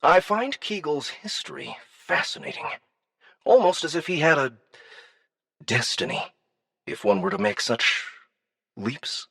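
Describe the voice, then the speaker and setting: Man acting a creaky, husky, insinuating alien dignitary. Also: fairly high pitch, big pitch swings, bright, forceful tone, no room sound, studio quality, voice pushed up, full character voice. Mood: silky, probing. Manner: languid, deliberate, insinuating. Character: cunning, probing male alien dignitary, coolly menacing.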